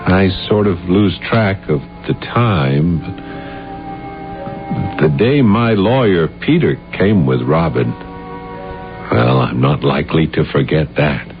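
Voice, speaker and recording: An actor playing an unstable, deluded man in an old-time radio drama, halting, stammering and repeating his words. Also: sits low, sing-song, gravelly, music in the background, heavily acted. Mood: wistful, vague. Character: unstable, deluded playwright who insists he killed his uncle. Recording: muffled, hissy 1970s radio recording.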